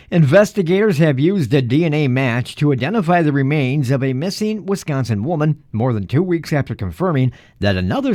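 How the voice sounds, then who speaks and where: A man's voice: mid-range voice, darkish tone, dry recording, studio quality. An American man reading scripted radio news as a reporter and anchor.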